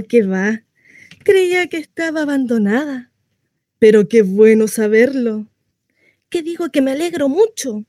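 A woman's voice: high voice, darkish tone, dry recording, clean studio sound, pitched above the performer's own voice. A woman playing a lonely, naive housewife in a theatrical radio monologue, gossipy, rambling and coyly confiding in a neighbor. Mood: bright, neighborly. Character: chatty lonely housewife, naive, insistently cheerful about her confinement.

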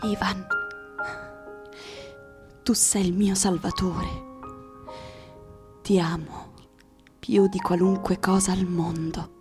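A woman's voice: fairly low pitch, little pitch movement, slow pace, long pauses, music in the background. An actress playing a fragile, wounded young woman in a theatrical radio drama. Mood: devoted, tender.

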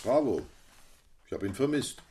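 A man's voice: mid-range voice, expressive, moderate pace, mellow, breathy edge. An actor playing an opinionated, witty man of a Romantic literary circle in scripted dialogue. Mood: opinionated, keen.

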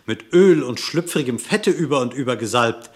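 A man narrating a classic radio play in literary verse, telling the story in a measured, formal way. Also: medium pitch, expressive.

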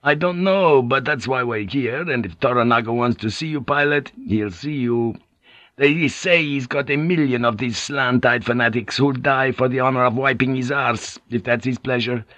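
A man voicing a boisterous, swaggering sea pilot who talks in a loud, jovial, profane way, on a dull cassette audiobook tape. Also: medium pitch, moderate pace, no echo, voice pushed up, close to an everyday voice.

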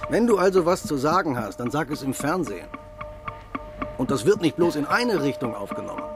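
An actor playing a man who lectures on writing soap scripts, slow and emphatic, in a radio play with music behind. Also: fairly high pitch, sing-song. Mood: lecturing.

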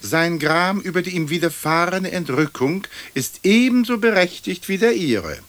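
An actor playing a lofty, philosophising leader of the dead, pompous and florid, in a radio play. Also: male voice, sits high, lively intonation, moderate pace, a bit bright. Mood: patronising. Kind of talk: German radio play acting.

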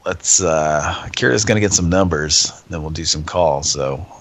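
Host: very low, gravelly man, congested, rambling. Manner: rambling, halting. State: stuffed up from a cold. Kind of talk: casual live show chat.

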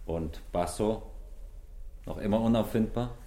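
Guarded, low-voiced married clerk. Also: male voice, unhurried, dark tone. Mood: guarded, curious. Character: guarded, evasive married male office worker. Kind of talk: German radio crime drama acting.

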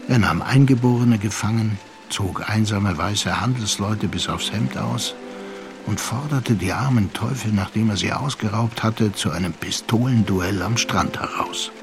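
An actor playing a composed, reflective storyteller, narrating a literary radio play in a measured, articulate way. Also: male voice, lowish voice, music in the background. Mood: wry storytelling. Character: reflective first-person storyteller of a seafaring tale, composed.

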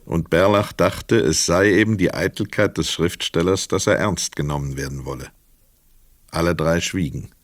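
A man's voice: deep voice, moderate pace, no room sound. A man reading literary narration for a radio play, recorded close in a studio. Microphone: close dry studio mic.

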